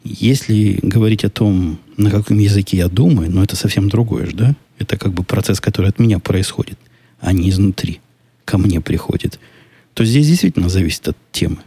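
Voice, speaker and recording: A man with a deep voice hosting a solo podcast, answering listener questions in a casual, musing way, often skeptical and restarting his sentences. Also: brisk, dark tone, husky, no echo.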